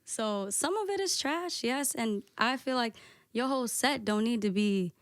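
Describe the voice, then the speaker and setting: A woman DJ guesting on a podcast, talking casually and candidly with a soft voice, peppering her talk with "like" and swearing. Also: on the high side, expressive, a little slow, no echo, clean studio sound. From United States. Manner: candid, lots of "like" and profanity.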